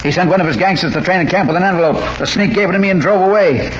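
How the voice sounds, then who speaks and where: A man's voice: sits high, rapid-fire, muffled recording, natural voice. An actor playing an older, fatherly fight manager in a 1940s radio drama, earnest and pleading. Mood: anxious. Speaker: boxer's manager. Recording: scratchy old radio recording, hiss.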